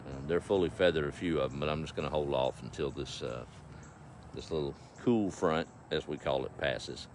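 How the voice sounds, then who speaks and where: A man's voice: rumbling low, normal speed, a little mellow. A middle-aged man with an East Texas accent chatting casually on a farm livestream, folksy and easygoing, talking to his animals and viewers. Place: outdoors on a farm. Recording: faint outdoor background noise.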